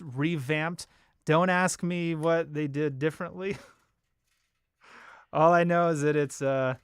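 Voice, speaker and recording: A man's voice: on the high side, smooth, dry recording, clean studio sound. An American man from Los Angeles hosting a casual livestream, chatting informally with viewers in a rambling, joking way. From Los Angeles, United States. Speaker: bass player and livestream host.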